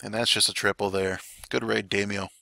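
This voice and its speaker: A man commentating a strategy game war replay in a relaxed play-by-play, his voice hoarse and raspy today, with fillers and occasional coughs. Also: lowish voice, fairly quick, no echo. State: voice getting raspy. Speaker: clan war recap commentator. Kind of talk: gameplay commentary.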